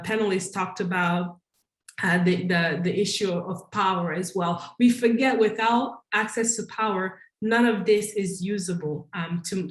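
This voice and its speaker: A woman's voice: mid-range voice, roomy sound, poor audio. A woman from Congo giving a conference talk, thoughtful and earnest, often restarting her sentences with fillers. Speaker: conference panelist.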